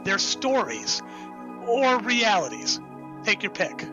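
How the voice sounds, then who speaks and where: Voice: medium pitch, big pitch swings, slow, muffled recording, over music. An actor playing an enthusiastic, rambling writer who created the hero, in an audio drama. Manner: enthusiastic, rambling, self-interrupting.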